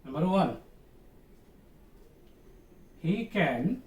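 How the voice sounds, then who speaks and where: A man's voice: a little high, sing-song, extremely slow, darkish tone. A man with a Bangladeshi accent and Bengali as first language, giving an instructional online class as a teacher, repeating key terms and checking understanding. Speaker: English grammar teacher. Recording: echoey room, distant mic, faint noise.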